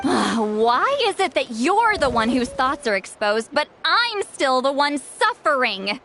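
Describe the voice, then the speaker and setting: Haughty game shaman, grumpy elder attitude, high lively voice. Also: slow pace, soft background music, full character voice. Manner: haughty, teasing, self-assured. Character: proud grumpy female shaman, sharp-tongued elder. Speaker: game character. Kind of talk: video game voice acting.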